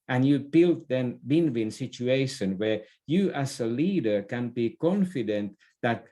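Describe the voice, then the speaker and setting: Mellow-voiced man explaining as interview guest. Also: medium pitch. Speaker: interview guest, leadership expert. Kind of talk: conversational explanation in a podcast interview.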